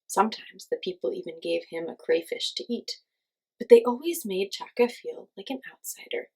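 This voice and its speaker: A woman reading a children's story aloud as host, in an expressive storytelling style. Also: medium pitch, moderate pace, creaky, husky.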